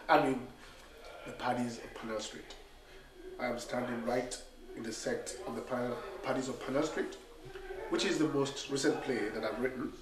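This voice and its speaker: A man's voice: medium pitch, recorded from a distance. A man in his forties with a cold, talking nasally and animatedly straight to the camera on a small theatre stage. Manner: animated storyteller, gestures with his hands. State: has a cold. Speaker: playwright and director. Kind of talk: direct-to-camera talk about his play. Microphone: camera mic from a few metres. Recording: echoey room, voice from off-mic.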